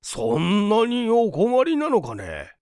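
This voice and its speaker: A man voicing a well-off older gentleman, blustering but kindhearted, in an emphatic, old-fashioned manner for a story reading. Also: sits high, huge pitch swings, takes it slowly, no room sound, clean studio sound, pitched above the performer's own voice, full character voice. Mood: concerned.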